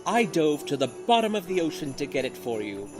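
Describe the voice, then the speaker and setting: A man's voice: fairly high pitch, big pitch swings, a little fast, music in the background. Man playing a swooping, lovesick doctor. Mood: amorous. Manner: ardent, dramatic. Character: lovesick, melodramatic, overbearing male doctor suitor. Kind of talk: comic audio drama acting.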